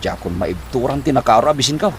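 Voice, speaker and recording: A man's voice: medium pitch, expressive, quick pace, close to an everyday voice. A man acting a worried, debt-ridden husband in an Ilocano comedy drama, with an Ilocano accent and a flustered, stammering, sighing manner. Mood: weary, hungry. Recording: noticeable background noise.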